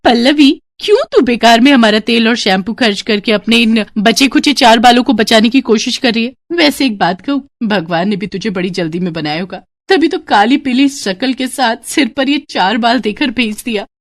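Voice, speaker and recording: A woman's voice: fairly high pitch, normal speed, hardly any pauses, no echo, clean studio sound, pitched above the performer's own voice, lightly acted. A woman voicing a cruel, vain young stepsister in a storybook tale, sneering and taunting. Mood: mocking.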